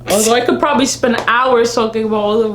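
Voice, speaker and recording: A woman's voice: fairly high pitch, fairly even intonation, fast talker. A woman co-hosting a casual fan livestream, opinionated and blunt, swearing freely as she rants. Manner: blunt, sweary, exasperated ranting. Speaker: fan vlog co-host. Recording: roomy, slightly noisy livestream audio.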